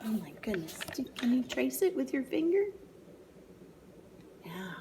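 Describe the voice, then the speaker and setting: Lady reading a kids book slow n animated, kinda high sing-song voice, bit of background noise. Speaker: storyteller reading a picture book to young children. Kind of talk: expressive read-aloud with asides and questions to children.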